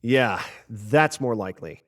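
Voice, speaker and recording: Skeptical male spaceship crewmate actor, mid-range voice, level-headed and measured, sci-fi radio play. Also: medium pitch, expressive, a bit bright. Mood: calm, skeptical. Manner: measured, rational. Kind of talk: sci-fi audio drama acting.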